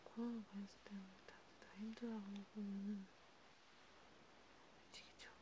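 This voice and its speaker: A woman playing a sympathetic vampire counselor in a whispered ASMR role play, breathy and intimate, talking casually and haltingly. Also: mid-range voice, level tone, noisy background, lightly acted. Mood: soft, reflective. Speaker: ASMR creator.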